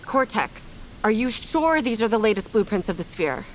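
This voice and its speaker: An actress playing a troubled scientist in a science-fiction audio drama, speaking in a measured, hesitant, naturalistic way. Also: female voice, medium pitch, sing-song, slight background noise, close to an everyday voice, poor audio. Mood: troubled, pensive.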